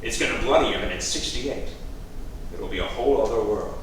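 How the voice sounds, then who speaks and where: A man's voice: medium pitch, a little fast, fairly bright tone, a little hiss or hum, close to an everyday voice. A man playing a scheming, grumbling politician. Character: scheming paranoid male politician, middle-aged. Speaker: actor in a staged reading. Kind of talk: staged play reading. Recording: echoey room from far off.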